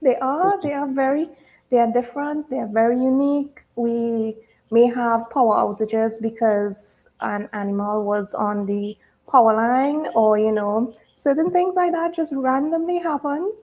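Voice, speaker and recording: Woman, fairly high voice, chatty, quick to laugh, live-stream guest. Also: fairly even intonation, lo-fi sound. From Trinidad and Tobago. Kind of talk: casual live-stream interview chat.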